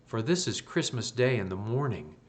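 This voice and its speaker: A man's voice: medium pitch, very animated, moderate pace, natural voice. A man doing a worn-out old tailor. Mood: weary. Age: older. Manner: tired, lamenting. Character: weary poor old male tailor.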